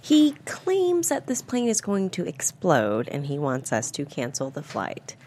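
A courteous, slightly flustered receptionist in her thirties, acted by a woman at a table read. Her voice sits a little low but is very lively, over faint noise. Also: a little slow, exaggerated voice. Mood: businesslike. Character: polite, flustered airline receptionist in mid-thirties. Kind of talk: table read of a thriller screenplay.

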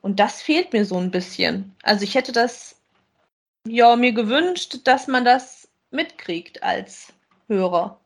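A woman's voice: on the high side, expressive, takes it slowly. A woman, co-hosting a podcast and chatting casually as she gives a review. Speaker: podcast co-host.

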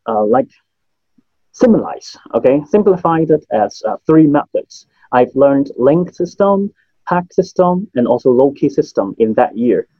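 A man's voice: mid-range voice, breathy edge, dry recording, dull audio. A man in his thirties with a Hong Kong accent, a native Cantonese speaker, answering interview questions hesitantly with many fillers. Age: 30s. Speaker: memory trainer, guest.

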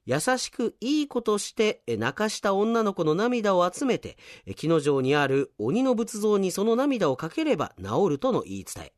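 Male radio host, very lively intonation, chatty reader of listener mail, close dry studio sound. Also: sits high, no echo. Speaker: radio show host and announcer. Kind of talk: reading out listener submissions with casual commentary.

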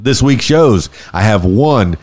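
A man's voice: lively intonation, takes it slowly, darkish tone. Hyped-up radio DJ man. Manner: playful showman, shifting pitch for emphasis. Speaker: podcast host and DJ. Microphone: studio mic.

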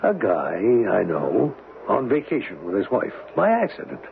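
An actor playing a tough but weak-willed blackmailer in an old radio drama, terse, blunt and wary. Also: male voice, lowish voice, huge pitch swings, moderate pace, vocal fry, exaggerated voice. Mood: guarded, uneasy. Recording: muffled old radio recording, faint noise.

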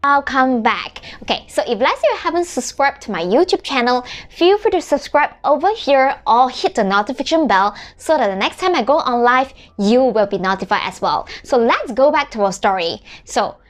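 A woman's voice: high-pitched, expressive, moderate pace, hardly any pauses. A woman in her thirties, a native Mandarin speaker from Malaysia, chatting casually in a marketing vlog with many restarts and tag questions. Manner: chatty, frequent "right?" and restarts. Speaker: vlogger.

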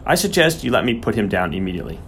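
A man's voice: on the low side, big pitch swings, racing, a bit bright, a little hiss or hum. A man voicing an earnest country vet in an audiobook, polite and firm but uneasy. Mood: grave, resolute.

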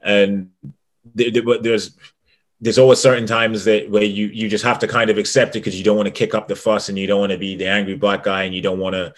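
A man's voice: fairly low pitch, level tone, a little fast. A man co-hosting a casual sports podcast, rambling with frequent restarts and easy laughs. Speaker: podcast co-host.

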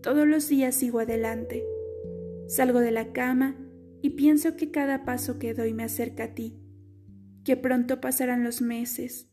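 A woman reading a literary letter aloud in a soft, slow, wistful way. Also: a little high, fairly even intonation, faint music behind. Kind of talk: scripted audiobook reading.

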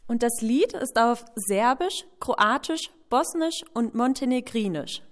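A young woman opens with a cheerful, casual welcome. Her voice sits slightly high. She speaks as a music association organizer. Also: expressive, a little slow.

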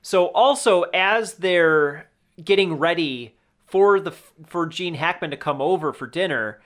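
A man co-hosting a podcast, chatting informally and haltingly about films, often repeating his words. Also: fairly high pitch, a bit bright. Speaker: podcast co-host. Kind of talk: casual film discussion chat.

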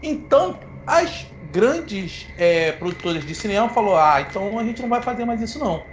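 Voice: mid-range voice, sing-song, normal speed, slight background noise. A host, explaining film history in a casual, playful way to the audience. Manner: playful, self-mocking asides.

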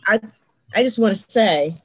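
A woman's voice: medium pitch, animated, moderate pace, breathy edge. A woman co-hosting a podcast, speaking in a heartfelt, earnest way. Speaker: podcast co-host. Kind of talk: casual chat.

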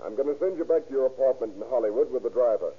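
A hard-boiled American police detective in vintage radio drama. He rattles along briskly in a husky, commanding voice, on a thin, tinny old recording. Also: medium pitch, expressive. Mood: feigned politeness. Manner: brisk, authoritative, clipped. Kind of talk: 1930s-40s radio crime drama acting.

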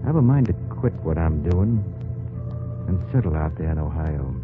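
An actor playing a kindly, plainspoken frontier army scout in an old-time radio drama, folksy and reassuring. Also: male voice, very deep voice, lively intonation, moderate pace. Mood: earnest. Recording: muffled old radio recording, music behind.

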